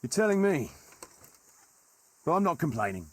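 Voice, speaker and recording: An actor playing a gruff, wry medieval nobleman turned mercenary leader in a video game, telling stories in colloquial, old-fashioned turns of phrase with a husky voice. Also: on the high side, big pitch swings, normal speed. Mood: wry, rueful. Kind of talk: dramatic storytelling in a video game cutscene.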